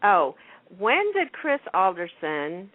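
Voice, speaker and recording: A woman's voice: medium pitch, huge pitch swings, takes it slowly, dry recording. A woman hosting a radio show, chatting casually over a muffled, telephone-like line. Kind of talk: casual chat.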